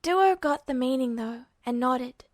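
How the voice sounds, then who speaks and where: A woman's voice: high-pitched, expressive, a little slow, a little mellow, no echo, clean studio sound. A woman narrating a story from a script as an audiobook-style reader. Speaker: podfic narrator.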